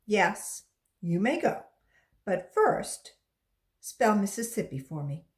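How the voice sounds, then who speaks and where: A woman's voice: mid-range voice, big pitch swings, slow, reverberant. A woman voicing a strict female elementary school teacher in a children's storybook, firm and matter-of-fact.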